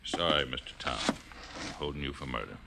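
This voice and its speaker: An actor playing a folksy, stern, self-assured American small-town police chief in a 1950s radio drama. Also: male voice, very deep voice, moderate pace, gravelly, loud music behind the voice. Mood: grimly certain.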